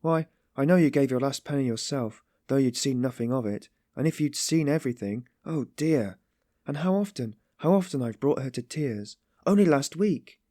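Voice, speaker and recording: A man's voice: mid-range voice, moderate pace, darkish tone, no echo, studio quality, voice pushed up, close to an everyday voice. A man voicing an anguished, meek but passionately compassionate young woman, pleading in breathless exclamations and repetitions for an audiobook story.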